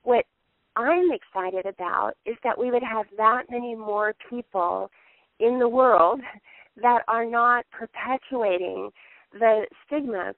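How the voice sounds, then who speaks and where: A woman's voice: a little high, dry recording. Woman on a narrow, tinny phone line. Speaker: speaker on a business conference call. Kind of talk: conversational sales advice on a group call. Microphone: telephone.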